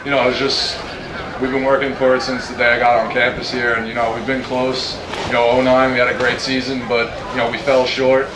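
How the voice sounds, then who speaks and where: A man's voice: medium pitch, level tone, fairly quick. A man in his early twenties, a college football player giving earnest answers at a press conference into a handheld mic. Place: press tent with ambient noise. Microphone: handheld microphone. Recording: roomy, distant handheld mic, clear background noise.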